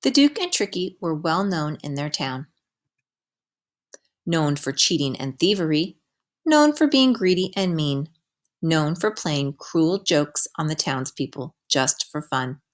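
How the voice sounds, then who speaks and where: A woman reading a children's picture book aloud as a teacher would, with expressive storytelling delivery. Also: low voice, a little slow.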